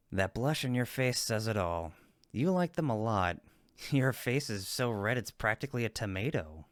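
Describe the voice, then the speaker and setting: A man's voice: mid-range voice, dry recording, studio quality, close to an everyday voice. A man playing a friendly cupid roommate in a comfort roleplay, teasing and supportive, with an emphatic, reassuring manner. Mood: playful teasing. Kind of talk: roleplay audio comfort acting, one-sided conversation.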